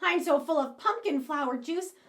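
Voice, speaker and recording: A woman voicing a small, shy but excitable puppet character in a children's show, gushing and earnest. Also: high-pitched, moderate pace. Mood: excited, wistful.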